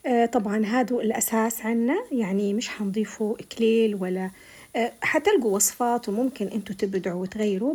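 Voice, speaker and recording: A woman's voice: on the high side, a little mellow, breathy edge. A woman with a Libyan Arabic accent and a tired voice, chatting viewers through a recipe step by step in a casual voice-over. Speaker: cooking vlogger.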